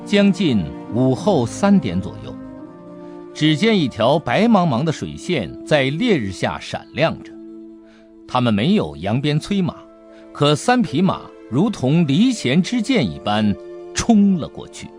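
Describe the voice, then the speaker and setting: A man narrating an audiobook adventure story in an expressive, dramatic storytelling manner. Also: a little high, a little slow, soft background music.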